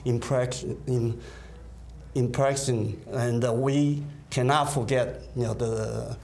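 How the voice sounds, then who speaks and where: A man's voice: medium pitch, steady tone, slow, vocal fry, a little mellow, a little hiss or hum. A man who grew up in Taiwan, an event organizer answering interview questions hesitantly, with many fillers. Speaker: concert organizer.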